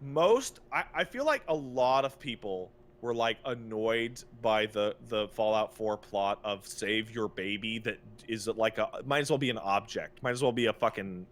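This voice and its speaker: A man co-hosting a podcast, ranting casually about video games in an exasperated, animated, sweary way. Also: on the low side, normal speed, few pauses. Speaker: podcast co-host. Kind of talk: casual video game rant.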